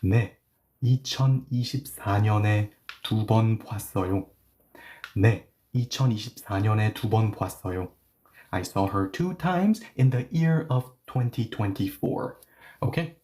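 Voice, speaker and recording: A man's voice: lowish voice, warm tone. Man explaining patiently, full of "okay, so". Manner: switches between explanation and slow model readings. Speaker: Korean language teacher. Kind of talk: online language lesson.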